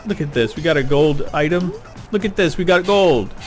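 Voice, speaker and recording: A middle-aged man rambling casually while playing a video game, getting excited over loot. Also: a little high, normal speed. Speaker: gaming YouTuber. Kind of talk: casual let's-play commentary. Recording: game music behind voice. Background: game music.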